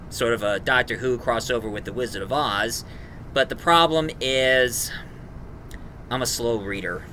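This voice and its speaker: A man giving a casual, rambling review in a halting, enthusiastic fan manner. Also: mid-range voice, sharp tone, a little hiss or hum. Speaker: reviewer, host.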